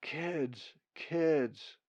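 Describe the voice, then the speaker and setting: A man's voice: fairly high pitch, drawn-out words, darkish tone, dull audio, pitched above the performer's own voice. A man voicing a weary mother raven in a storybook, mildly calling out to her brood. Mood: weary chiding.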